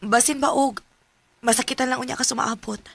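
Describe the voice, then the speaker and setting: A woman's voice: sits high, steady tone, a little fast, gravelly, dry recording. An actress playing a young female student who turns down a classmate, speaking directly. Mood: gentle. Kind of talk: amateur radio drama acting.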